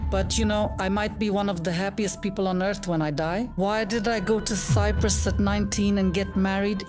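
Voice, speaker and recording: A woman in her fifties from Bulgaria, a guest telling her life story in an earnest, heartfelt, reflective way. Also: mid-range voice. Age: 50s. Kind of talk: personal storytelling in an interview.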